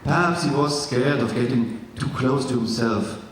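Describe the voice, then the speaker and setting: A man from East Germany with a German accent, reading from his memoir in careful, slightly halting English with jokey asides. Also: medium pitch, a little hiss or hum. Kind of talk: live literary reading. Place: large event hall. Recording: echoey hall from far off.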